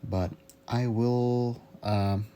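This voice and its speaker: A young man giving a casual, unscripted audio log, rambling haltingly as he searches for words. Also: medium pitch, fairly even intonation, dark tone, clean tone. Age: young adult. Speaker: hobbyist vlogger.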